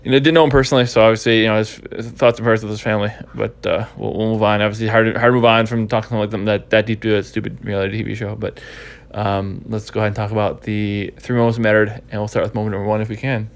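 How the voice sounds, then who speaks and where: A man's voice: lowish voice, little pitch movement, racing, hardly any pauses. A stuffy, congested man hosting a casual podcast recap, rambling and repeating himself as he thinks. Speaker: podcast host.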